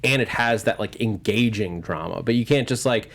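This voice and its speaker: A man hosting a casual panel discussion about films, giving his opinions in a halting, rambling way. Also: on the low side, vocal fry. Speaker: panel show host.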